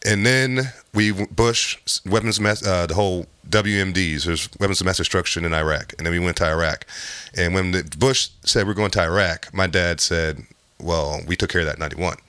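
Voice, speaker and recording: A man's voice: deep voice, brisk, sharp tone, no room sound. A man in his thirties telling a personal story in casual conversation, rambling with many restarts. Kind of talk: casual chat.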